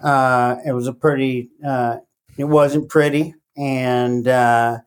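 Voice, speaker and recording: A middle-aged man who grew up in Latin America talks slowly and hesitantly, with lots of fillers and restarts. His pitch sits in the middle range. He sounds like a guest settling into a casual interview. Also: fairly even intonation, clear, resonant tone. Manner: halting, many fillers and restarts. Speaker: podcast guest.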